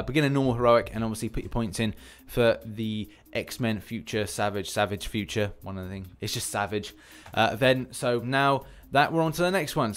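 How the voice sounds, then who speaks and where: Man, mid-pitched voice, gaming news host, casual commentary, chatty and rambling, conversational asides, faint background music.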